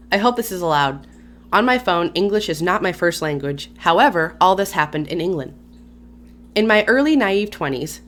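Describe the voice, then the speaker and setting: Low-voiced woman chatting casually. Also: a bit bright. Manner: casual, chatty asides with sighs and laughs. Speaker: YouTube channel host. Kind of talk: reading a story aloud with commentary.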